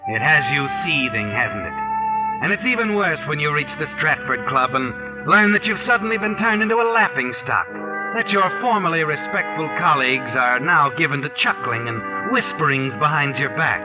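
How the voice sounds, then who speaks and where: An actor playing a sardonic, menacing narrator who taunts the protagonist in a 1940s radio mystery. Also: male voice, a little high, animated, moderate pace, close to an everyday voice, poor audio. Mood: taunting. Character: ominous, taunting male narrator addressing the doomed protagonist. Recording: old 1940s radio recording with music.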